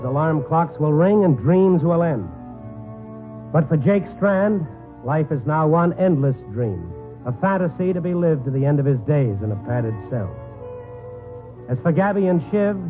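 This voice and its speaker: An actor playing a grim, wry newspaper reporter who narrates a noir radio drama in a brooding, clipped, dramatic way. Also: male voice, fairly high pitch, animated, moderate pace, faint music behind, lightly acted. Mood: somber, wry. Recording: tinny 1950s radio broadcast recording.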